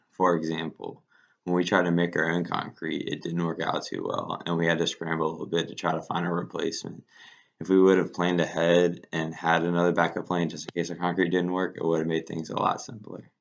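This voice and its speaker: A man's voice: low-pitched, steady tone, fairly quick, gravelly. A young male student giving matter-of-fact advice. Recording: dull lo-fi recording.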